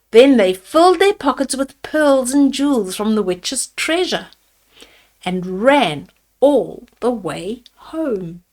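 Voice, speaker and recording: A woman storyteller reading a fairy tale aloud to children, deliberately, with simple asides to her young listeners. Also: a little high. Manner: deliberate, addresses children with simple questions and comments.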